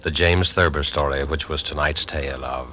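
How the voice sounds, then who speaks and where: A man narrating a 1940s radio suspense series in a solemn, measured, theatrical and formal style. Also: extremely low pitch, fairly even intonation. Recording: old radio recording, prominent music.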